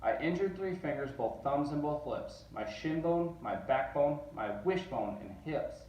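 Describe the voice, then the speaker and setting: A man reading a rhyming children's story aloud in an expressive, narrating way. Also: on the high side, unhurried. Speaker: storybook reader. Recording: roomy, distant, dull sound.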